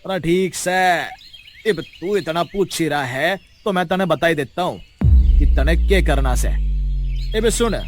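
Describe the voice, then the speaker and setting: A man's voice: on the high side, measured pace, soft background music, lightly acted. A man voicing a brash, mocking village wrestler with a Haryanvi accent, blunt and condescending.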